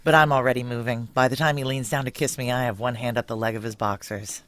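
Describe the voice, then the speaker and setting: An actress playing a tough, guarded military veteran in a noir audio drama, blunt, sardonic and profane, with intimate first-person narration. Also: female voice, a bass voice, steady tone, fast talker. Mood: intimate narrating.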